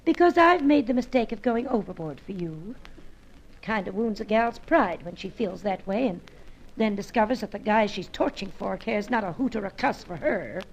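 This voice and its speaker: Woman acting a brassy, creaky-voiced, stubborn dame. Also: mid-range voice, expressive, normal speed, low-quality recording. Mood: hurt, indignant. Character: brassy, stubborn woman bird painter, slangy and wounded. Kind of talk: pulp radio serial acting.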